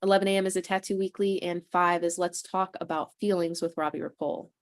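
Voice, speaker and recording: A woman's voice: on the low side, steady tone, fast talker. A woman hosting a casual livestream on a video call. She is chatty and upbeat and tired after a convention weekend. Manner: chatty, upbeat, clears throat between announcements. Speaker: host.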